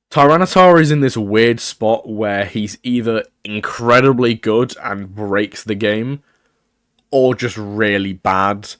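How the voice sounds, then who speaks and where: Young man, croaky from a cold, fairly low voice, dry close sound, chatty opinionated commentary. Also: dry recording. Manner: chatty, opinionated, thinking aloud. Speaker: gaming video creator. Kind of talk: casual tier-list commentary.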